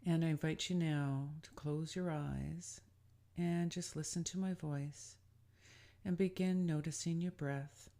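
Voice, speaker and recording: Woman doing guided meditation, soft mellow tone, lots of vocal fry, soothing n deliberate, reads like a script. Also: sits low, steady tone. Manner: soothing, deliberate, gently instructive.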